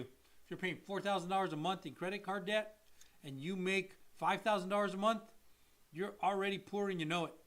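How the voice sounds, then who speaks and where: A man with a high voice co-hosts a casual mortgage talk show. He explains loan types to viewers in an emphatic way, repeats his key points, and throws in blunt asides. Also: a bit bright. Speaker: mortgage loan officer co-host. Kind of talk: casual explanatory chat, answering viewer questions.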